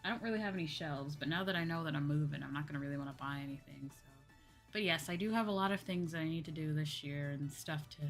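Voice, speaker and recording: A young adult woman in a casual livestream chat, talking as a streamer to viewers in an animated, exclamatory way with acted-out asides. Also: low-pitched, quick pace. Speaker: VTuber streamer chatting with viewers. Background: background music.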